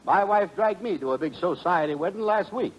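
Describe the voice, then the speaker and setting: High, lilting pompous radio crony. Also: male voice, expressive, a little fast, lo-fi sound. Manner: pompous, elaborate malapropisms. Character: pompous, dim male crony mangling big words. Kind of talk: 1940s radio comedy acting. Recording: faintly noisy vintage radio recording.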